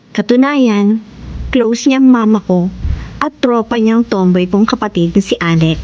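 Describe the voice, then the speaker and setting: Young Filipina radio-drama heroine: high, very animated, frank and affectionate, over noisy background ambience. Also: female voice, dull audio. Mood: reflective. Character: Lenny, young woman, affectionate, frank, confident in love.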